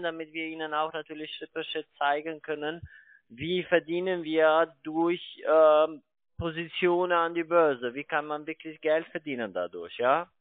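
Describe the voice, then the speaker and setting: Higher-voiced salesman on the phone. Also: no echo. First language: not German. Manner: wordy, repetitive, stumbling phrasing. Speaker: call centre investment salesman. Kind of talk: sales pitch on a phone call. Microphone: telephone. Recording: thin, band-limited phone line, dry.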